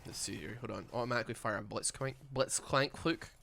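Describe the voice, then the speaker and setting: A man's voice: mid-range voice, fast, creaky, pushed and bright, slight background noise. A Scottish man with a Glaswegian accent and a lisp, mumbling and rambling in casual chat while streaming a game. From Scotland. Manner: mumbling, rambling with many fillers.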